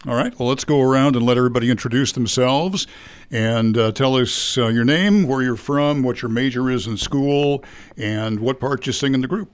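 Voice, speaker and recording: A man's voice: medium pitch, normal speed, clear, resonant tone. A man hosting a radio studio interview, asking easygoing, conversational questions. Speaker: radio host.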